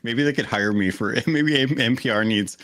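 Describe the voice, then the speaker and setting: A man co-hosting a gaming podcast in a casual chat, with a deep voice and a steady, monotone delivery. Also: moderate pace, no room sound. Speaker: podcast co-host.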